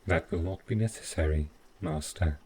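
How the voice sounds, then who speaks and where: A man playing an overprotective, stiffly polite robot butler in an audio roleplay, speaking in formal, deliberate phrases. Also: low-pitched, warm tone, slight background noise, natural voice. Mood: evasive, insistent. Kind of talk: scripted audio roleplay addressed to the listener.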